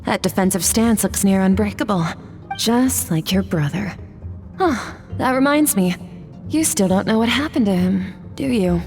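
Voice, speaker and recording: An actress playing a cool, stoic sword master in a video game, composed, blunt and measured as she trains a pupil. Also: medium pitch, lively intonation, a little mellow, faint music behind, lightly acted. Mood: taunting.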